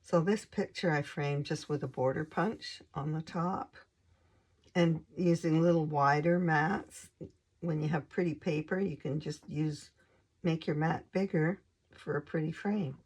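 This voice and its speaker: A hoarse woman hosting a craft channel, casually showing her scrapbook pages in an unhurried, hesitant way with lots of ums. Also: low-pitched, steady tone, darkish tone.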